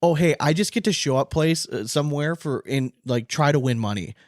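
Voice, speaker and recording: A man's voice: on the high side, expressive, fairly quick, no echo, studio quality. An American man hosting a podcast, chatting casually and frankly with informal check-in phrases. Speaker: podcast host. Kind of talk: casual opinionated chat.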